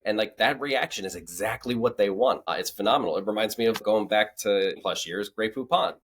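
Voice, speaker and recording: A man's voice: lowish voice, a little fast, a little hiss or hum. A man talking conversationally as an expert guest on a podcast, explaining things with assurance.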